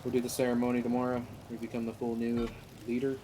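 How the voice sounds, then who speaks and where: A man's voice: medium pitch, monotone, fast talker. A man chatting in an online roleplay game, blunt and bossy, with casual swearing. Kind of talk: casual gaming voice chat, half in character. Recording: noisy game voice chat audio.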